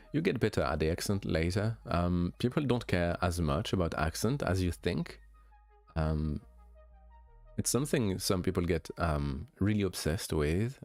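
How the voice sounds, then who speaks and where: A young man with a light French accent, a streamer chatting casually with viewers, relaxed and hesitant with many fillers and restarts. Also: sits low. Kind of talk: casual chat answering viewers.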